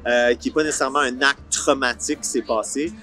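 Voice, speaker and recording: A middle-pitched man in his forties with a Franco-Ontarian accent answers interview questions outdoors. He talks colloquially and drops in English words, with faint music under his voice. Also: steady tone, quick pace. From Ottawa, Canada. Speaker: series creator being interviewed. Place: outdoor park film set. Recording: soft music bed under the voice.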